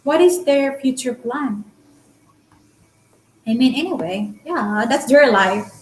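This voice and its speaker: A woman's voice: high-pitched, expressive, slow, darkish tone, echoey room, slight background noise, rough recording. A talkative, candid woman from the Philippines with a Filipino accent, whose first language is Bisaya, chatting casually with viewers on a live stream. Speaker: vlogger answering live chat.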